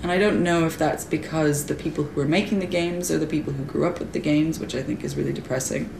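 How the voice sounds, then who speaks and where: A woman's voice: low-pitched, steady tone, quick pace, vocal fry. Enthusiastic woman guest, roomy recording. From Polish family. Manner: enthusiastic, emphatic. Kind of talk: casual podcast chat. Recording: roomy with background noise.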